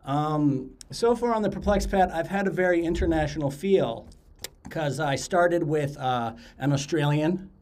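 A man's voice: on the high side, measured pace, a little mellow, a little hiss or hum. A man hosting a podcast, chatting casually with guests and often repeating words as he restarts. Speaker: podcast host.

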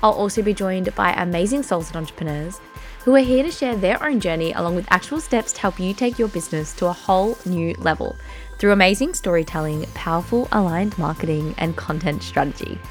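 A woman's voice: on the low side, brisk, soft background music. A woman hosting a podcast interview in an upbeat, enthusiastic way. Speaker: podcast host.

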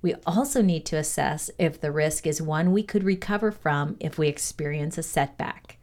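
A woman with a fairly low voice narrates an educational script. She reads aloud in a measured, deliberate manner, placing each phrase with care. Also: darkish tone. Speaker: reader of a values lesson.

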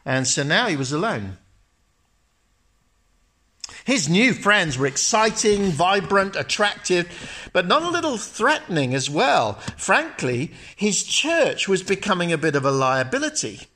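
A man who is a pastor, praying and then telling a story in a measured, storytelling way, speaking in a church. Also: high-pitched, big pitch swings, pushed and bright. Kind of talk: sermon with narrated story.

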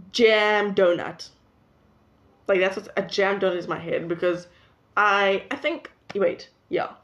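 A young woman in her late teens with a South African accent, chatting casually to camera in a rambling, self-interrupting way. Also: medium pitch. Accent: South African English. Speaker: vlogger. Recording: dull lo-fi recording.

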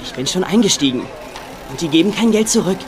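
An actor playing a desperate, apologetic grown son in trouble, pleading in a dubbed TV drama. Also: male voice, high-pitched, lively intonation, fast talker, over music. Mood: pleading, distressed.

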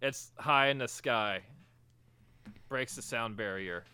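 A man's voice: mid-range voice, slow pace, pushed and bright, smooth. A man co-hosting a podcast, teasing his friends in playful, casual chat. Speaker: podcast co-host.

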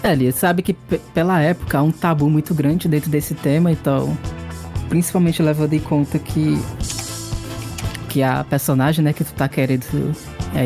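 A man narrating as game master of a tabletop horror-comedy game, slow and halting with restarts. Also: on the high side, warm tone.

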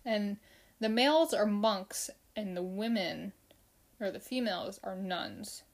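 A woman's voice: mid-range voice, slow. Young woman host, casual chatty delivery, everyday mid voice. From Philippines. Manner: chatty, loose, many fillers and restarts. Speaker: podcast host. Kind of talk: casual solo podcast chat with Q and A.

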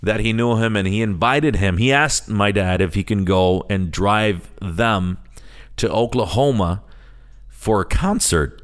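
A man's voice: lowish voice, unhurried. A middle-aged man from Chicago with an American accent, talking casually as a podcast host and slipping between English and Spanish. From Chicago, United States. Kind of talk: casual solo podcast introduction.

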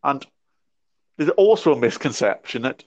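A man's voice: medium pitch, very animated, moderate pace, darkish tone, dry recording. Reflective young man with a stammer. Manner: reflective, talks with a stammer and restarts. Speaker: podcast guest. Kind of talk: casual conversation. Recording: dry muffled lo-fi audio.

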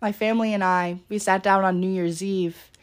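A young woman hosting a solo podcast in a casual, rambling way, her voice a little raspy from being sick. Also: mid-range voice, fairly even intonation, moderate pace. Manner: chatty, rambling, with many fillers. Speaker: podcast host.

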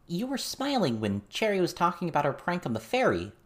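A reader voicing an eager teenage girl, lively pitch. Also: brisk. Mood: curious. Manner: earnest, informal, questioning. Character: curious, eager, outspoken young teenage girl.

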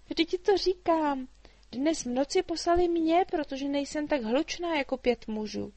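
A woman voicing a small, chatty human girl who talks in eager, high-pitched chatter, in a storybook reading. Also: mellow, dry recording. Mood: lively.

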